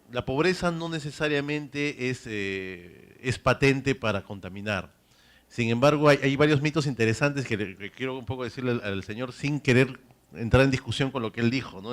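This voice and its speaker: A man from Peru with a Peruvian accent answering on a panel, brisk, with restarts and examples. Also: medium pitch. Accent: Peruvian Spanish.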